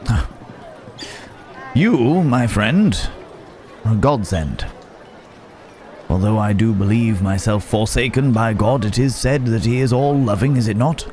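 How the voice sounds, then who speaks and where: Man acting a deep, slow, courtly troubled werewolf. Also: lively intonation, a little mellow, a little hiss or hum. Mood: amused, grateful. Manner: old-fashioned formal phrasing, hesitant, musing. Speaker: voice actor in ASMR roleplay. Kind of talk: ASMR roleplay acting, one-sided dialogue. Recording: busy outdoor market ambience behind voice. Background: market crowd ambience.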